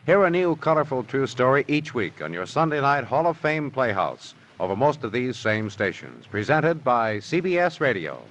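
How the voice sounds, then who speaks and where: A man's voice: mid-range voice, big pitch swings, normal speed, hardly any pauses. An American man announcing in scripted 1950s network radio style, polished and promotional. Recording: dull, faintly noisy old radio recording.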